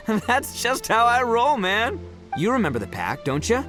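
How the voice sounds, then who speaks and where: Lively, brash young oni gang boss. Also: male voice, very high-pitched, slow pace, soft background music, heavily acted. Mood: cheerful, proud. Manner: boisterous, cocky, casual slangy talk. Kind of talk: English video game voice acting.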